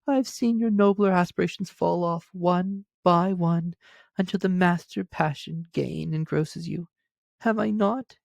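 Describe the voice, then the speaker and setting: A man voicing a gentle, sorrowful young woman in a storybook, speaking softly and earnestly in measured phrases. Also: high-pitched, gravelly, no echo, pitched above the performer's own voice. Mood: gentle, grieving.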